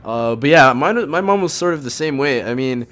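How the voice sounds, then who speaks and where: A man's voice: medium pitch, animated, moderate pace, fairly bright tone. A young man hosting a gaming show, chatting casually in a rambling, hedging way. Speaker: host of a gaming video series. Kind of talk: casual chat over gameplay.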